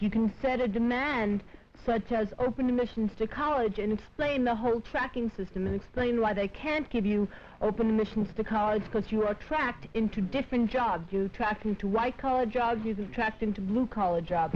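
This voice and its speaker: A young American woman explains things as a student activist in a slightly high voice. The old film recording is dull, with faint noise. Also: moderate pace. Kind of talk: explanatory political talk.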